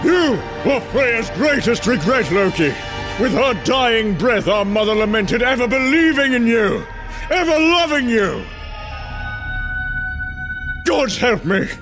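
A man's voice: very high-pitched, lively intonation, slow, long pauses, music in the background, exaggerated voice. A man voicing an anguished, wrathful old warrior king of gods in a dramatic comic-book performance, declamatory and grief-stricken. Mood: bitter anguish.

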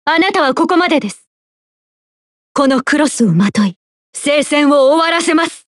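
A woman voicing a young goddess of war in an anime battle game, formal, dignified, gentle and resolute. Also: high-pitched, lively intonation, moderate pace, no room sound, clean studio sound. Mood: resolute, solemn.

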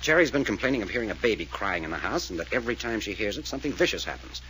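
An actor playing a level-headed, commanding American detective who explains things with authority, in 1940s radio style. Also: male voice, lowish voice, sing-song, racing, bright, forceful tone, low-quality recording. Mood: grave. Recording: old radio recording with faint hiss.

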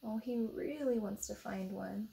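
A woman in her thirties with an American accent, reading a picture book aloud to young children expressively, pausing to ask them simple questions. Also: mid-range voice, gravelly, dark tone. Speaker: storytime reader for children. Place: indoor room beside a book display.

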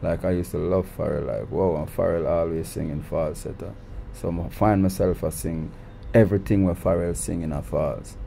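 A man's voice: low voice, fairly even intonation, moderate pace, vocal fry, mellow, a little hiss or hum. A Jamaican man in his thirties talking casually in an interview, often repeating words as he starts a sentence. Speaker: guest artist. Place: recording studio.

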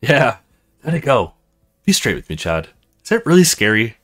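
A man's voice: mid-range voice, big pitch swings, normal speed. A man reading the lines of a blunt, moody young woman at an academy in a visual novel, with emphatic delivery. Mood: anxious. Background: music.

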